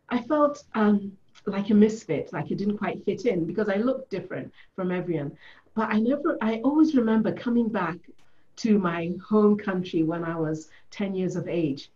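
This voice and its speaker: A woman from Ethiopia, a coach, telling her story in a reflective, soft-spoken way during an interview. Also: medium pitch, lo-fi sound. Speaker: guest, coach. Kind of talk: conversational interview.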